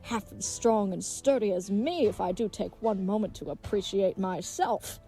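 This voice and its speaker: A woman's voice: medium pitch, moderate pace, dark tone, soft background music, lightly acted. Woman playing a gallant, pompous, earnest leathersmith knight. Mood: bold, boastful. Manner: pompous, flowery old-fashioned speech. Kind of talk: comedic audio drama acting.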